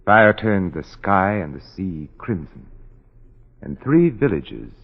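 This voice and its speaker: A conscience-troubled lawyer in 1940s radio style, measured and formal. His voice sits low and moves expressively through its range. The recording is old and dull. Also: sing-song, full character voice. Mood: brooding. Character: grave, conscience-troubled male lawyer, guarded and authoritative.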